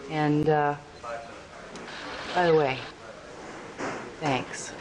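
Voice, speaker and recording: An actress playing a tough, vengeful resistance soldier in a video game, gritty, informal and emotional. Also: female voice, low voice, steady tone, extremely slow, crowd noise, rough recording. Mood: vengeful, subdued. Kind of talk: scripted video game cutscene acting. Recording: clear background noise with hum.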